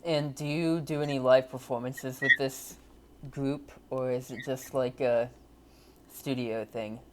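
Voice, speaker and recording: A man's voice: mid-range voice, fairly even intonation, measured pace, a little hiss or hum. A man hosting an interview, asking casual questions with a few fillers. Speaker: host, interviewer.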